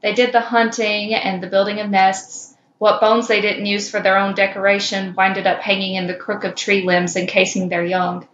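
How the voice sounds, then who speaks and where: A small, cute survivor of a cursed kind read by a woman tells a grim fan fiction tale in a measured, somber way. The sound is slightly dull and echoing, with faint noise behind it. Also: medium pitch, little pitch movement, a bit bright, lightly acted. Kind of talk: scripted first-person fan fiction reading. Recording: muffled, roomy, noisy home recording.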